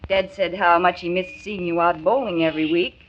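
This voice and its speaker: Young secretary, polite and caring, fairly low female voice, played by an actress; 1940s radio acting on a muffled old transcription. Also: steady tone, brisk, a little hiss or hum, natural voice. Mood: friendly. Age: young adult. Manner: polite, earnest, sympathetic. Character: earnest, kindly young secretary.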